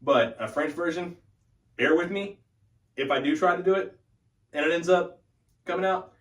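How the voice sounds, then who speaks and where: A man's voice: a little high, moderate pace. A young adult man giving a casual, informal update as the host of a hobby channel, thinking aloud as he goes. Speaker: host of a hockey fan channel. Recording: echoey room, distant from the mic.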